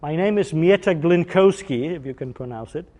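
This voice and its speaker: A man's voice: a little high, normal speed, darkish tone. A man in his fifties from Poland with a Polish accent, giving a technical workshop lecture, explaining step by step with some fillers and restarts. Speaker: engineering workshop presenter. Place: conference room. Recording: long hall reverb.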